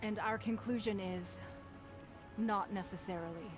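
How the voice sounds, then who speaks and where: A woman's voice: mid-range voice, steady tone, a little slow, lightly acted. A woman playing a composed, decisive leader in an anime game, formal and resolute. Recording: music behind the voice.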